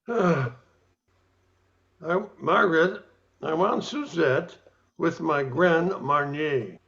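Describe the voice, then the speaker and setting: A man playing an arrogant, fussy handsome diner customer in an amateur radio comedy, speaking deliberately. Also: fairly high pitch, lively intonation, gravelly, a bit bright, heavily acted. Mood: fussy, patient. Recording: dull lo-fi audio.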